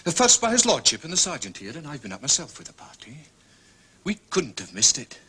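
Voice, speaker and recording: An actor playing a dour, doubting police inspector with a rough, husky voice in a detective drama. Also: male voice, on the high side, huge pitch swings, fairly quick, pushed and bright, heavily acted. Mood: dutiful, firm. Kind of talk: British detective drama acting.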